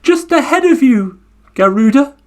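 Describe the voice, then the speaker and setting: A small, clever turtle, put on by a male storyteller, squeaks and begs in a high voice. The pitch leaps and swoops as it pleads over and over. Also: animated, normal speed, darkish tone. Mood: cheeky.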